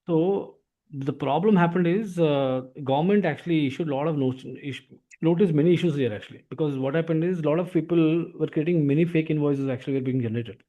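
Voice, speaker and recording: A man with an Indian accent explaining software as an instructor, often tagging phrases with "actually". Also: fairly high pitch, fast, muffled recording. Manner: repeatedly tags phrases with "actually". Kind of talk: explanatory software training lesson.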